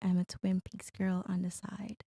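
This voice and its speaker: A woman's voice: medium pitch, monotone, measured pace, vocal fry, warm tone, slightly rough, dry recording. A woman in her twenties from Brazil, Guyana and Miami, answering questions as a podcast guest, often starting with a hesitant "um". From born in Brazil, raised in Guyana and Miami. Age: 20s. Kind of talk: casual chat.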